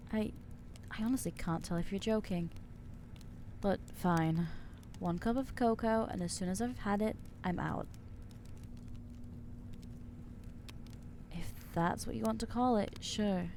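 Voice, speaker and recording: A woman's voice: mid-range voice, moderate pace, warm tone, a little hiss or hum, natural voice. A woman voicing an anxious young female vampire in an ASMR audio roleplay, talking in nervous, stammering, self-deprecating rambles. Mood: flustered.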